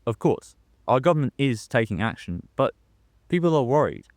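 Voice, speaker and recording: A young man playing a polite radio news presenter who gets nervous and flustered, halting in his delivery, in a radio drama. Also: mid-range voice, big pitch swings, no echo, lightly acted. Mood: composed, formal. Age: young adult. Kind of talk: modern radio drama acting.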